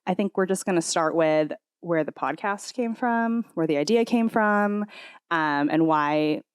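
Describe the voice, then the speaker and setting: Woman co-host, bubbly and rambling, slightly low voice, dry close-miked sound. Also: a little slow, smooth, dry recording. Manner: bubbly, rambling, lots of fillers. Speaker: podcast co-host. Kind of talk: casual chat. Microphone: podcast microphone.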